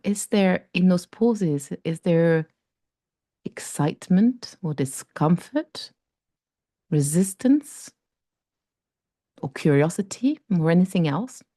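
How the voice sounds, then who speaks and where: A woman with a low, mellow voice leads a breathing meditation. She talks slowly and softly and leaves long pauses between phrases. The sound is close and dry. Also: expressive, no room sound. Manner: slow, soft and gentle, with long pauses. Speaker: meditation guide.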